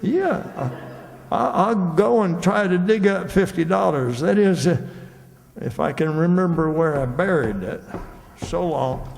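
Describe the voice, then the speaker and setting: An actor playing a forgetful, stammering country debtor in old-time radio comedy, halting and muddled. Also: male voice, high-pitched, expressive, gravelly, a little mellow, a little hiss or hum, heavily acted. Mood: flustered. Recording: echoey hall with long reverb.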